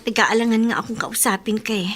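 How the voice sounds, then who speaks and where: An actress playing a sensitive young college student in a radio drama. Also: female voice, a little high, fairly even intonation, fast talker, a bit bright, husky. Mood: shy, worried. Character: hurt, bitter young woman college student. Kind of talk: Tagalog radio drama acting.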